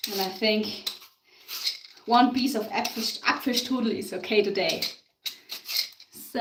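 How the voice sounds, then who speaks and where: A woman's voice: on the high side, background noise. A woman from Tyrol with an Austrian German accent, chatting casually to live-stream viewers while she bakes in her home kitchen. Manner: chatty, answers viewer comments, laughs often. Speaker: live-stream baking host. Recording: echoey kitchen, mic far off. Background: clinking dishes and cutlery.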